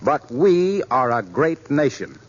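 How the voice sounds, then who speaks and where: A man announcing a 1940s radio show, formal and booming, with earnest appeals to listeners. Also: sing-song, takes it slowly. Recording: muffled 1940s radio broadcast with hiss.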